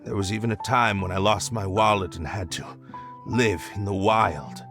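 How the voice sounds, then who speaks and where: An actor playing a disillusioned young nobleman, talking in a hesitant, halting, reflective way. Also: on the low side, lightly acted. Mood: rueful. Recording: game cutscene with music behind.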